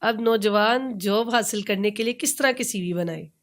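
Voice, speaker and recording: A woman's voice: medium pitch, moderate pace. A woman with a Pakistani accent interviewing as a podcast host, attentive and conversational, with brief acknowledgements.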